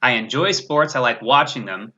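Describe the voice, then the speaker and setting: A man's voice: medium pitch, normal speed, bright, forceful tone. A man chatting casually as a guest on a gaming livestream, talkative and opinionated with a sarcastic streak. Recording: slightly dull, lo-fi stream audio.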